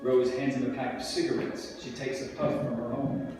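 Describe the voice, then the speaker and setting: A man's voice: mid-range voice, level tone, moderate pace, distant mic. A man reading stage directions as scripted narration at a table reading. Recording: very echoey hall from afar, music.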